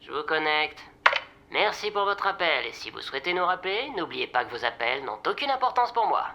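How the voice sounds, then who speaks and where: A woman's voice: low voice, sing-song, a little fast, heavy background noise. A woman playing a sarcastic, brusque, fed-up helpline operator in a comic audio drama. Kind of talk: audio drama comedy acting.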